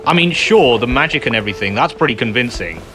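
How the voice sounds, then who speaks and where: A woman's voice: extremely low pitch, expressive, fast talker, poor audio. A woman giving casual, sceptical playthrough commentary as a gaming video host, with muttered asides. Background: game music.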